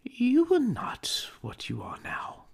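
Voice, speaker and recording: A woman's voice: a little high, huge pitch swings, slow, creaky, husky, whispered, exaggerated voice. An actress playing a young woman who earnestly and sadly ends an old engagement. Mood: reproachful. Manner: earnest, soft, sorrowful.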